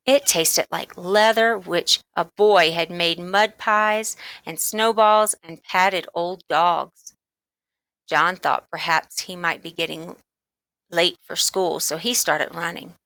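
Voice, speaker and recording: A woman's voice: on the low side, fairly bright tone, no room sound. A woman narrating a children's storybook as a read-along audiobook.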